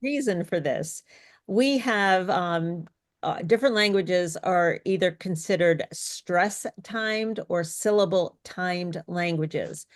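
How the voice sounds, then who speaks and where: Woman speech coach, low-pitched voice, encouraging, explanatory, online workshop over a video call. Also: slow. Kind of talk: interactive online workshop teaching.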